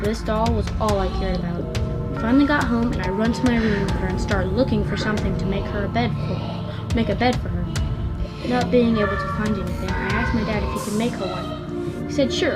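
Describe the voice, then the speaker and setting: A young woman with a mid-pitched voice reads a creepy first-person tale aloud. She sounds like an amateur reader who sometimes trips and repeats a phrase, and loud music runs behind her. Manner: amateur read-aloud with stumbles and rereads. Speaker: guest story reader. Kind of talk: first-person scary story reading. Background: loud background music.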